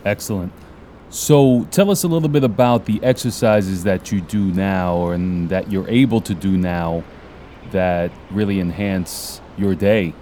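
A man's voice: deep voice, unhurried, mellow, a little hiss or hum. A man hosting a podcast, asking questions in a conversational interview style.

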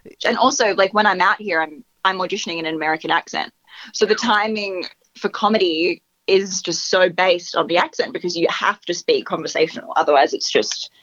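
A woman with an Australian accent, a guest actress chatting casually in an interview with quick agreeing interjections. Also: lowish voice, fairly bright tone, no echo, dull audio.

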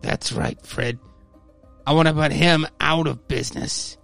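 Man acting a scheming, vindictive townsman, gravelly. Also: on the high side, steady tone, measured pace, a bit bright, faint music behind. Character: scheming vindictive man plotting a rival's ruin. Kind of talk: comic radio play reading.

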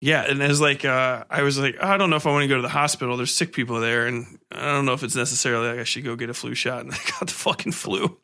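A man's voice: mid-range voice, fairly even intonation, quick pace, few pauses, sharp tone. A man hosting a casual podcast chat, informal and sweary, happy to run himself down. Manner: informal, profane, self-deprecating. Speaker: podcast host.

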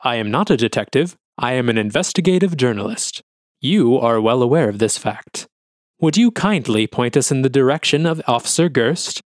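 A man's voice: medium pitch, normal speed, no echo, clean studio sound, lightly acted. A man voicing a stern, formal investigative journalist in an audio drama who speaks in clipped sentences. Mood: formal cool.

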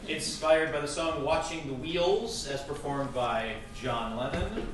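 A man's voice: fairly high pitch, a little slow, a bit bright. A man hosting a live event, introducing pieces and readers in an informal, conversational announcing style. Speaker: host introducing plays. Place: live event room, distant mic. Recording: very echoey, distant, noisy live room.

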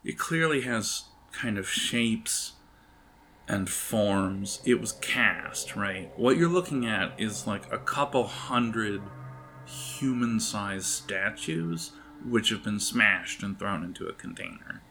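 A man narrating an improvised tabletop game as game master, in a halting, conversational way, speaking directly to his players. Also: fairly low pitch, bright, forceful tone, a little hiss or hum.

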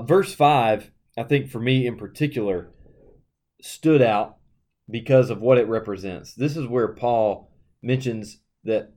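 A man's voice: fairly low pitch, darkish tone. A man, a youth minister, talking through a Bible passage and its application in an earnest, explanatory way. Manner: earnest, explanatory, with frequent "you know" and restarts. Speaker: youth minister, co-host of a Bible study podcast. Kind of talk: conversational Bible study discussion.

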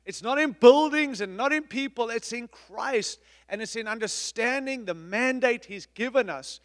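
High-voiced middle-aged man preaching. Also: normal speed, in a large hall. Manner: emphatic, repetitive preaching with swings in loudness. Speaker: pastor. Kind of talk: sermon. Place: church.

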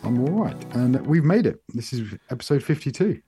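A middle-aged British man co-hosting a podcast, chatting casually in a soft, rambling way. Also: medium pitch, moderate pace, music in the background. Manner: soft-spoken, relaxed and rambling. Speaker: podcast co-host. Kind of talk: casual chat.

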